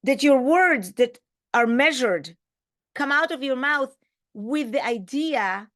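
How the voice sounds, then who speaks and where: A woman with a fairly high, very animated voice gives a reflective spiritual lesson. She stresses key words, poses questions to the listener and pauses for effect as her pitch swings widely. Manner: emphatic, rhetorical questions, dramatic pauses. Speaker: religious teacher. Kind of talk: reflective spiritual teaching talk.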